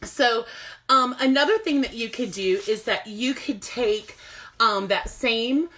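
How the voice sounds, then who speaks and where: A woman's voice: on the high side, slow, pushed and bright. A woman music teacher who gives casual classroom tips at home. She is chatty, laughs often, and uses many fillers. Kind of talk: casual instructional video.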